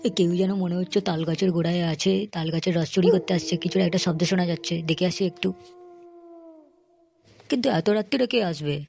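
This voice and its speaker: A woman's voice: fairly low pitch, fast, darkish tone, a little hiss or hum, natural voice. A woman voicing a suspicious, threatening grown man, an angry tree owner in a comedy cartoon.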